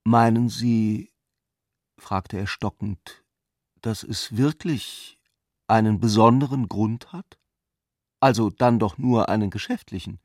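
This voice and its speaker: A male actor playing an ironic, courteous intellectual cousin in a German literary radio drama, speaking eloquently and deliberately. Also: on the low side, darkish tone, no echo, clean studio sound. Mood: hesitant, feigned surprise. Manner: eloquent, deliberate, earnestly polite.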